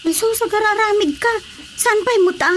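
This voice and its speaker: A woman's voice: very high-pitched, normal speed, a bit bright, a little hiss or hum. An actress playing a teasing, lovestruck young village woman in a Filipino radio drama comedy, with an Ilocano accent. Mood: teasing, coy.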